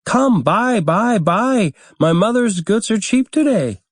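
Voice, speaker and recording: Shrill little boy street crier. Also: lively intonation, no room sound, clean studio sound, exaggerated voice. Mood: eager hawking. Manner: calling out wares in drawn-out cries.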